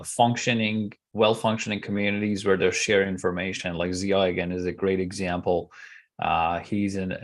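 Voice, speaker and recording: Low-voiced man. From Iran. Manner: measured, hesitant, frequent pauses with uh. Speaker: guest. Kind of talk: podcast interview answer.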